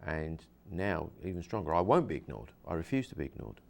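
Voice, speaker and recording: A man's voice: sits low, big pitch swings, brisk, creaky, a little mellow. A man, a hypnosis practitioner, interviewing a guest and explaining his method earnestly, with many repeats and restarts.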